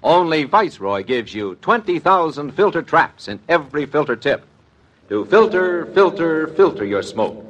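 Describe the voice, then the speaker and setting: A man's voice: fairly high pitch, big pitch swings, normal speed, fairly bright tone. A man delivering an emphatic 1950s radio commercial as the announcer, stressing key words.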